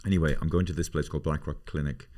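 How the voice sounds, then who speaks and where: A man's voice: extremely low pitch, steady tone, rapid-fire, mellow. A man in his fifties hosting a podcast, rambling through profane, self-mocking casual chat. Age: 50s. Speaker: podcast host.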